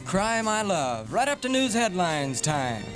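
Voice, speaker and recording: A man's voice: high voice, very animated, measured pace. An American man working as a radio DJ and news reader, speaking in 1960s AM radio style on an old tape recording. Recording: 1968 AM radio tape, music behind. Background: music.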